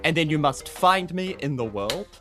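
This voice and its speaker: Guy with a highish voice, super animated, goofy self-mocking podcast chat, faint music under it. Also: normal speed. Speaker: podcast cast member. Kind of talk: casual comic chat. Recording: soft music in the background.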